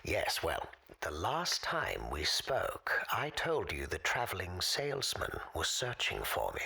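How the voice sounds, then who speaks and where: A forgotten gentleman in an audio drama, very husky and breathy, with pitch that swoops widely. He speaks in formal, measured sentences, erudite and wistful. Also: wildly swooping pitch, heavily acted. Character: forgotten, wistful, erudite man calling a radio show. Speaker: recurring caller on a radio show. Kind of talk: audio drama monologue, storytelling.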